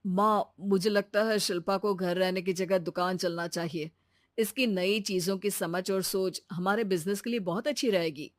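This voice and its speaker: Woman voicing a gentle young husband. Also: medium pitch, fairly even intonation, moderate pace, no room sound, close to an everyday voice. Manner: gentle, reasoning. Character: kind young husband and son, supportive.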